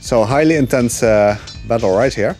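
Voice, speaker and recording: A man giving relaxed live commentary on his speedrun, explaining the route with frequent fillers. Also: medium pitch, moderate pace, soft background music.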